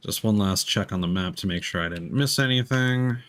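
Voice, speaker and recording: A man's voice: lowish voice, brisk. A man live-streaming a video game with casual, reactive commentary, muttering asides to himself and swearing freely.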